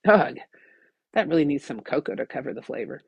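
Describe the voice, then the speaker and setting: Woman playing a stern, skeptical woman, husky. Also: low voice, expressive, a little fast, dry recording, highs cut off, full character voice. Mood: exasperated. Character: stern, skeptical, stealthy woman, dryly exasperated.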